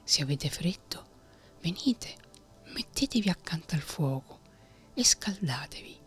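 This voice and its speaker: A woman's voice: deep voice, a little slow, husky, soft background music, heavily acted. A woman reading a fairy tale, whispering the lines of a fearless young man who wants to learn fear. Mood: calm, unafraid. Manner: whispered, calm and bold.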